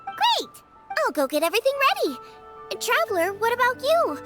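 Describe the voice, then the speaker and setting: A friendly, eager young girl chef in an anime game. Her girlish voice is extremely high and very animated, full of heartfelt expression. Loud music plays underneath. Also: moderate pace, exaggerated voice. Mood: cheerful. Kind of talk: anime-style video game voice acting. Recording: music behind the voice.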